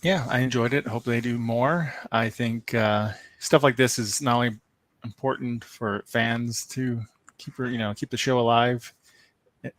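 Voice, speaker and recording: A man's voice: mid-range voice, fairly bright tone, no room sound. A man offering casual opinions as a panelist in a fan discussion.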